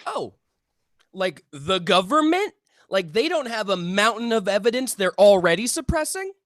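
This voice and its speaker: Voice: medium pitch, very animated, normal speed, no echo, clean studio sound, lightly acted. A performer in a table read playing Hank, a defensive, paranoid male amateur UFO researcher who speaks emphatically and stammers. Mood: indignant, preachy. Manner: stammering, emphatic, self-serious. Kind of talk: table read of a comedy script.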